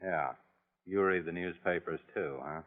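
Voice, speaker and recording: An actor playing a blunt, defensive airplane co-pilot with slangy speech in an old radio drama. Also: male voice, unhurried, long reverb tail. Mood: bitter. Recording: boomy, narrow vintage radio recording.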